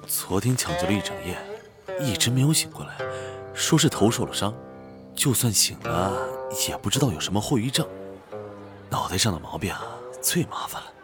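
Audio drama sidekick, male actor: worldly, teasing gossip, casual delivery, lowish register with lively swings. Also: normal speed, over music. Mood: concerned gossip. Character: chatty, nosy, worldly male friend of a rich heir.

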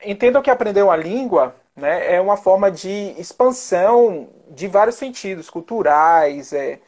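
A man's voice: high voice, lively intonation, normal speed, a little mellow. A chatty male interviewer keeps the talk loose and friendly. He strings his thoughts together with frequent tag questions to his guest. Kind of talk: casual interview chat.

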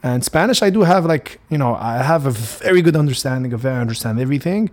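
A man's voice: medium pitch, lively intonation, quick pace, a little mellow. A young man from Morocco with a Moroccan accent, Arabic his first language, talking through a casual solo podcast in a rambling way, full of restarts and fillers. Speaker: podcast host.

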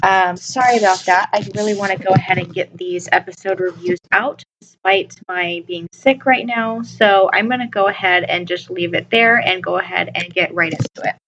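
A woman hosting a solo review podcast, talking casually while sick and losing her voice, with a scratchy voice and musing, self-questioning delivery. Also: mid-range voice. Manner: musing, asks listeners questions, many restarts. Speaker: podcast host reviewing a TV episode.

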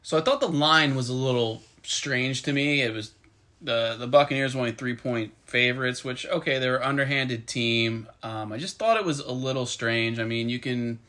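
A man hosting a casual football talk podcast with his wife, chatting in a relaxed way with plenty of fillers. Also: mid-range voice, moderate pace. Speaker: podcast host.

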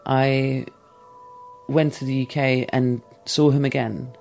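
A man calmly and candidly recounting a past relationship in a thoughtful, measured way. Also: medium pitch, creaky, soft background music. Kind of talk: reflective personal storytelling.

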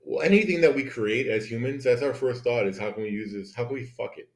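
A man's voice: mid-range voice, quick pace. A man co-hosting a podcast in casual banter, crude and teasing, often pushing with questions, recorded with an echoey room sound. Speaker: podcast co-host.

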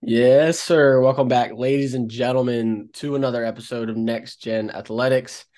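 Guy hosting a sports podcast, energetic, mid-pitched voice. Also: normal speed. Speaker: podcast host. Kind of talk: casual sports podcast chat. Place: Columbia.

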